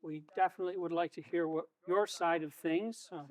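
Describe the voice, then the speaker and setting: A man playing a polite, mediating school official in a stage play, measured and formal. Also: on the high side, fairly even intonation, natural voice.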